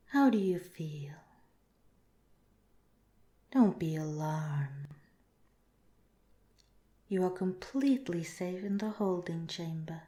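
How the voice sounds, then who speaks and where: A woman voicing a calm, curious alien researcher in a softly spoken, part-whispered ASMR roleplay, with formal, measured, clinical phrasing, in an echoing recording. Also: lowish voice. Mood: calm, reassuring. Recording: roomy, echoing voice.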